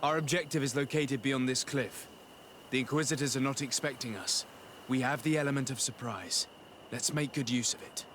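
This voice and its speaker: Man acting as young noble envoy, formal, measured, composed, video game dialogue, mid-pitched, ambient noise behind. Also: fairly bright tone, natural voice.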